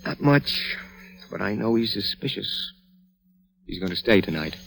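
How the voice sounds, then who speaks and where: Rattled, bright-voiced thriller husband. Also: male voice, on the low side, expressive, a little slow, vocal fry, exaggerated voice, poor audio. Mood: weary, uneasy. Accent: American. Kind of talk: 1940s American radio drama acting. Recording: vintage radio recording.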